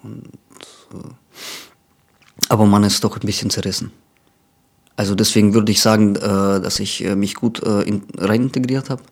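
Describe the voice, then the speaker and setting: A man's voice: fairly low pitch, fairly even intonation, normal speed, gravelly, long pauses. A man, speaking hesitantly and reflectively in a documentary interview. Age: 20s. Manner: hesitant, many fillers and restarts, reflective.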